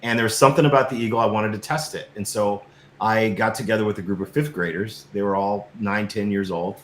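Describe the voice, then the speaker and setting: Male, middle-aged, reflective filmmaker guest. Slightly deep, roomy sound, halting conversational delivery. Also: fairly even intonation. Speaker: interview guest, documentary filmmaker. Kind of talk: casual conversational storytelling. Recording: roomy echo, faint background noise.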